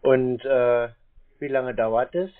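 A man's voice: mid-range voice, steady tone, slow. Man asking questions over a phone line. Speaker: caller questioning a salesman. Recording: band-limited phone sound.

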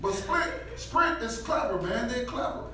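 A middle-aged man from Jamaica with a light Jamaican accent, doing an animated stand-up set on a small club stage and breaking into loud shouted bursts. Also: extremely high pitch, measured pace, crowd noise. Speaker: stand-up comedian. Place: small comedy club stage with a live audience. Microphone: handheld mic, filmed from the back of the room. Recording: echoey club room, distant camera mic, audience noise.

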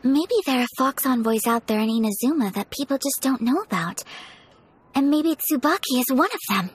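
A woman's voice: high voice, animated, a little hiss or hum. A graceful, polite young noblewoman. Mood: thoughtful. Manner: formal, gentle, measured. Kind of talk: video game voice acting. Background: game music.

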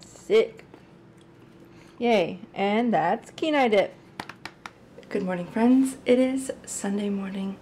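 A woman's voice: medium pitch, slow, pauses often, warm tone, slight background noise. Chatty woman vlogging in her kitchen. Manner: chatty and playful, teasing. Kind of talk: casual vlog chat. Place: home kitchen.

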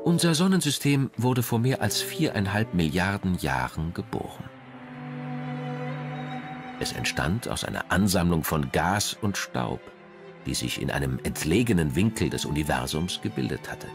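A man narrating a scripted science documentary in a measured, formal manner. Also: sits low, lively intonation, over music.

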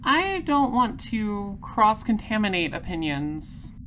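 Businesslike female detective, acted by a woman, medium pitch, unhurried deadpan, faint ambience behind. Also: slight background noise. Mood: patient, firm. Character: polite, businesslike space patrol detective, patiently exasperated. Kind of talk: comedic audio drama acting.

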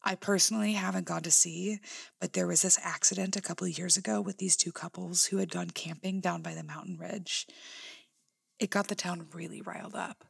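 A woman's voice: lowish voice, fairly even intonation, brisk, creaky, breathy edge, exaggerated voice. A woman playing a pushy, superstitious, teasing cousin in a horror audio drama. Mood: ominous, gossipy.

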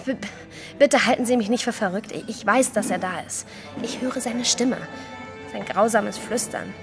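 A woman's voice: fairly high pitch, moderate pace, over music, close to an everyday voice. An actress playing a frightened, indignant young woman in an audio drama, stammering hesitantly at times and speaking emphatically. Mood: anxious. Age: young adult.

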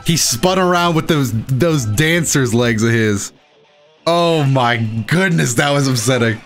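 A man giving casual, excitable commentary while he plays a video game, with playful dramatic flourishes. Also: medium pitch, unhurried, soft background music. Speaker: gaming video host and player. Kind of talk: casual live gameplay commentary.

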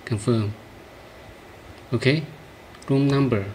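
A man demonstrating a product hands-on, speaking in short, halting phrases, recorded indoors on a handheld device. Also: medium pitch, darkish tone, slight background noise. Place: indoor room, beside a tabletop.

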